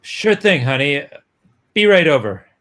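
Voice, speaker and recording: Brief: man acting a lovestruck young boyfriend, highish and very animated, stammering through vintage romance melodrama. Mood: tender, eager. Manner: halting, stammering melodramatic delivery. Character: lovestruck, pressured young boyfriend, hot-headed and apologetic. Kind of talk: dramatic reading of a 1950s romance comic.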